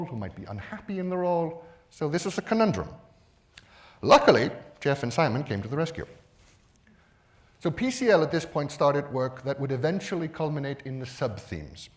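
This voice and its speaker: A man presenting at a conference in a measured, explanatory manner, stressing key words with emphasis. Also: fairly high pitch. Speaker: conference speaker.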